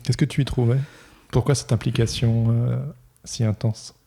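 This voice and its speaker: A man hosting a podcast, asking questions in a relaxed, encouraging way. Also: mid-range voice, normal speed, slight background noise. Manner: relaxed, encouraging, gentle prompting. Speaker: podcast host. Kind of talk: casual interview.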